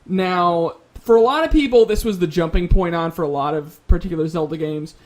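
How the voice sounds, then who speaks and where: A man's voice: high voice, fairly quick. A man speaking as a panelist in a casual gaming discussion, conversational and careful to qualify his opinions.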